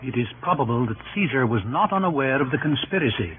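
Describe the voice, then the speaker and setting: A man's voice: medium pitch, lively intonation, quick pace. A man narrating a scripted radio drama in a formal, chronicle-like manner. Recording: tinny, hissy old radio recording.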